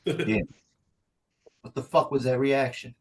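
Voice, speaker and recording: A man's voice: mid-range voice, unhurried, a little mellow, lightly acted. A man playing a casual, foul-mouthed, gullible guy fishing for news in a comedy table read. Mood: incredulous.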